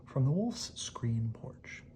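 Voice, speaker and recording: A storyteller reading a picture book aloud in a hushed bedtime style, often dropping to a whisper. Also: mid-range voice, big pitch swings, takes it slowly.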